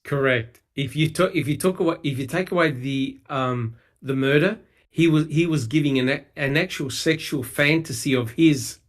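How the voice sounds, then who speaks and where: A man's voice: fairly high pitch, normal speed. A man co-hosting a podcast, talking heatedly and emphatically, swearing and mocking people with quoted lines. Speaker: podcast co-host. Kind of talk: casual true-crime discussion.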